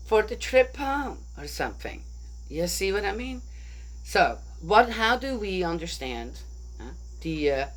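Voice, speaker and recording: A woman's voice: lowish voice, poor audio. A woman talking casually through a bible story, thinking aloud and often asking her listeners "right?". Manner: chatty, rhetorical, keeps asking "right?". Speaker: bible study speaker.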